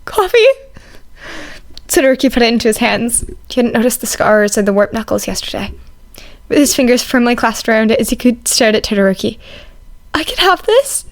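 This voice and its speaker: A woman's voice: fairly high pitch, steady tone, fast talker. A woman reading fan fiction aloud as scripted story narration.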